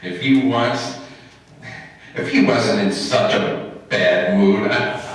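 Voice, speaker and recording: Man playing a slow, dopey schoolboy, echoey. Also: lowish voice, fairly bright tone. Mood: dopey, earnest. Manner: slow, halting, ungrammatical, dopey. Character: slow-witted, good-natured male high school student. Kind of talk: old-time radio comedy acting. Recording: very echoey, distant room recording.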